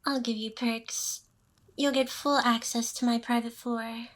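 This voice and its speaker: Slow, teasing demon boss lady, acted by a woman. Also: a little high, fairly even intonation, a bit bright. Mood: possessive, seductive. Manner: slow, teasing, seductive delivery with pauses. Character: smug, teasing, possessive ancient demoness boss. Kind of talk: intimate ASMR roleplay monologue.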